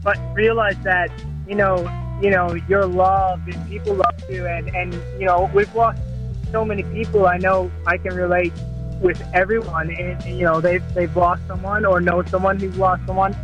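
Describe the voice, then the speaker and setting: A man's voice: sits high, flat delivery, normal speed, dull audio, music in the background. A man being interviewed as a musician over a call line, easygoing and hesitant, with lots of fillers and restarts. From Canada. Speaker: musician guest. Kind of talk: casual interview answers. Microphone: remote call line.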